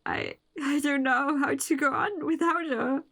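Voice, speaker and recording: Actress doing heartbroken obsessive girl, horror audio drama, high voice, talks slow, pleading. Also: steady tone, fairly bright tone. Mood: grieving, obsessive. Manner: pleading, anguished. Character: distraught, obsessive young woman grieving her lover. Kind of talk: horror radio drama acting.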